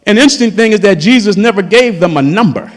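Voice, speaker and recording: Male pastor in his fifties, very high and lively voice, impassioned sermon, long church reverb. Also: quick pace. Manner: impassioned preaching, calls out to the congregation. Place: church sanctuary.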